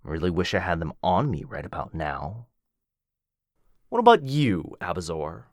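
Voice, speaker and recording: A man's voice: low-pitched, big pitch swings, unhurried, no echo, clean studio sound, heavily acted. A man voicing a cocky, jealous werewolf who mocks his rival and teases with a lot of bounce. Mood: boastful. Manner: cocky, mocking, bouncy teasing. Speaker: voice actor in boyfriend roleplay. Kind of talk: ASMR roleplay acting.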